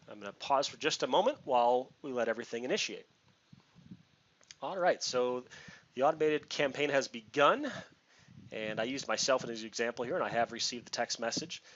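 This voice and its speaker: Man, mid-pitched voice, informal software demonstrator, step-by-step explaining, slightly muffled lo-fi mic. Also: animated, moderate pace. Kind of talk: casual screen-share product walkthrough. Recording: muffled lo-fi mic with background hiss.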